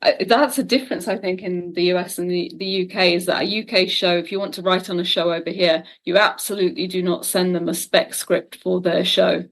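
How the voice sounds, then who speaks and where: A woman's voice: on the low side, fairly even intonation, fairly quick, hardly any pauses. British woman chatting as a writer guest. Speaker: guest, audio drama and TV writer. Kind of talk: casual conversational interview.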